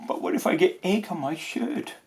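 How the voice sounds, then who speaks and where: A man's voice: high voice, big pitch swings, normal speed, vocal fry, darkish tone, slight background noise, voice pushed up, full character voice, low-quality recording. A man voicing a reluctant, fussy young boy from a storybook, with a whiny, grumbling manner.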